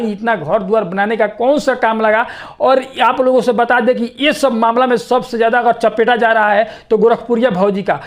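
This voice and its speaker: A man giving informal, opinionated news commentary to viewers in a repetitive, insistent way. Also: very high-pitched.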